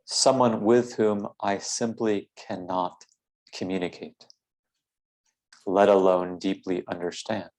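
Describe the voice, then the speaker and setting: A man leading a philosophical guided meditation in a measured, deliberate way, with formal phrasing and frequent pauses. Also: low voice, little pitch movement, a little mellow.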